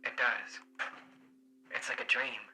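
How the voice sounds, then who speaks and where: A performer voicing a detached inner voice, cold, calm and insistent, in a visual novel. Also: medium pitch, slow pace. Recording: music behind the voice.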